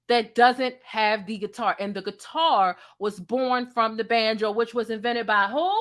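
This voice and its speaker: A woman with a deep voice hosting a livestream commentary show, emphatic and talking straight to her viewers. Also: a bit bright. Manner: emphatic, repeats lines for punch, asks the chat questions. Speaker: YouTube commentary host.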